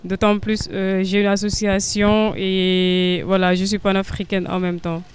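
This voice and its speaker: A young woman with a Senegalese accent answers questions earnestly, pausing often and filling gaps with hesitations. Her voice is very smooth and clear, and her pitch stays fairly even. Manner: hesitant, many filler sounds, earnest. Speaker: guest. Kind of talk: interview answers.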